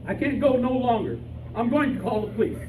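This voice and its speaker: A man's voice: very high-pitched, expressive, a little fast. A man playing an anxious, naive amateur detective in an old-time radio comedy, hesitant and earnest. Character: anxious, naive male amateur detective hunting his lost canary. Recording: echoey room from far off, noisy.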